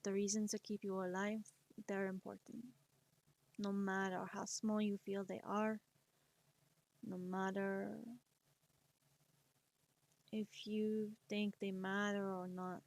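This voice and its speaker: Woman streamer, slow and soft-spoken, mid-range voice, encouraging chat. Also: mid-range voice, little pitch movement. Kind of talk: casual chat while drawing on stream.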